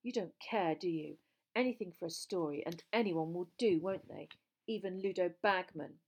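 A woman voicing a fierce, hot-tempered teenage schoolgirl with a shrill, emphatic delivery in a story reading. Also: normal speed, a little mellow, highs cut off. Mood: disgusted anger.

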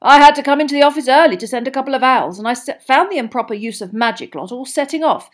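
A woman voicing a flustered middle-aged male official, talking urgently and fast. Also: sits high, lively intonation, voice pushed up.

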